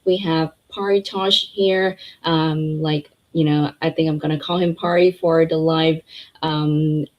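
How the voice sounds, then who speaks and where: A woman's voice: low-pitched, fairly even intonation, unhurried, highs cut off. A woman hosting a live interview, asking questions in a friendly way with many fillers. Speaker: host.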